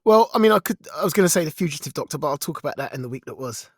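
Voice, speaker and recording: Higher-pitched man chatting. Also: expressive, rapid-fire, darkish tone, breathy edge, dry recording, studio quality. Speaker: podcast co-host, also audio drama performer. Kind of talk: casual podcast chat.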